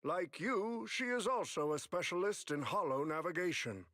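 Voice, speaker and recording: Polite scholar man, highish voice, very lively pitch, formal anime game style. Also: normal speed, no echo, clean studio sound. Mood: courteous, formal. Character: courteous, formal male scholar, expedition leader.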